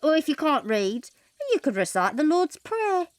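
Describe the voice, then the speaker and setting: An actress playing an offhand eighteenth-century hospital nurse in an audio drama, matter-of-fact and falsely reassuring. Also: female voice, high-pitched, very animated, moderate pace, no room sound, clean studio sound. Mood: coaxing.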